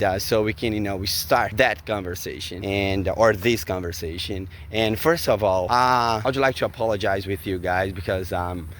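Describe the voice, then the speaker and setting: Brazilian-accented man, forties, lowish voice, halting English, faint outdoor noise. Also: a bit bright. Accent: Brazilian Portuguese. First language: Portuguese. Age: 40s. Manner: halting, searching for words, many fillers; just back from a jog. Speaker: vlogger. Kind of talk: casual vlog to camera. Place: outdoors in a park.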